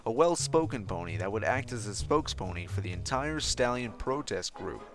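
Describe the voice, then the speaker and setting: A man reading a fan fiction story aloud in an expressive narrating style. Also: lowish voice, a little fast. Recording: music behind the voice. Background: background music.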